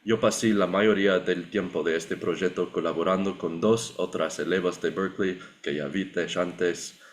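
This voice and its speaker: Young male presenter, low voice, careful learner reading a prepared statement. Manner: careful learner's delivery, occasional self-corrections. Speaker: graduate student and project participant. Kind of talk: prepared presentation read aloud.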